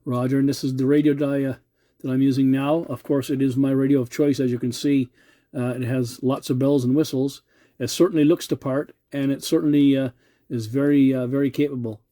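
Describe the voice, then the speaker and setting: A middle-aged or older man with a very warm, mellow voice recorded close and dry. He talks casually as a radio hobbyist, polite and measured. He often hesitates and doubles back on his words. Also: medium pitch, flat delivery, no room sound. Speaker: amateur radio operator hosting a video channel. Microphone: cell phone filming the radio.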